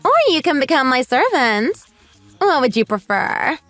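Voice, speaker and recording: A woman's voice: high-pitched, big pitch swings, measured pace, a bit bright, soft background music, pitched above the performer's own voice, heavily acted. A woman voicing a snobbish, bullying rich mother in a radio drama, haughty, sneering and exaggerated. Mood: sneering, threatening.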